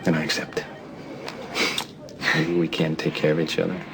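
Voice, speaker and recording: A man playing a protective, quietly devoted character in a soap opera. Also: extremely low pitch, little pitch movement, normal speed, gravelly. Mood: tender. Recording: loud background noise.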